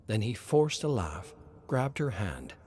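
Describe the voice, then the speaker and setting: A man narrates a scripted horror story at a slow pace. His pitch moves in a lively, animated way. There is some ambient noise behind the voice.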